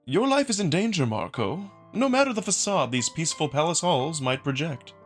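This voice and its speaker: A scheming jackal aristocrat, voiced by a man, speaks high and softly with courtly, measured polish. The delivery is lilting and politely amused, in visual novel style, with faint music underneath. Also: very animated. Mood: candid, suave. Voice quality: high, soft voice. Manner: formal, measured, courtly diction. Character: genteel, scheming male jackal noble, smoothly polite and amused. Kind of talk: visual novel dramatic reading.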